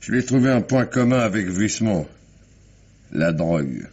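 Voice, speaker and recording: A man's voice: lowish voice, animated, normal speed, background noise. A man playing a shrewd, unflappable police commissioner in a mid-century French radio crime drama, speaking in a dry, terse, colloquial way. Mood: dry, probing. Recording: dull, muffled old radio recording.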